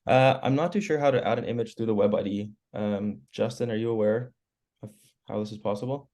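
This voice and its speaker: A hoarse man, losing his voice after a cold, walking colleagues through editing web pages in a relaxed, explanatory way on a video call. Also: fairly low pitch, brisk. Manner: relaxed, explanatory, clears throat often. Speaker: web team member giving training. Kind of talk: screen-share walkthrough on a work call.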